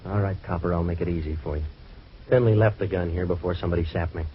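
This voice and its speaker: Hardboiled American gumshoe played by a male actor: very low voice, rapid deadpan wisecracks, vintage radio crime drama. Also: lightly acted. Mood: defiant, sarcastic. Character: hardboiled, wisecracking male private eye in trouble. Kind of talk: old-time radio detective drama acting and narration. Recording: dull, noisy vintage radio recording.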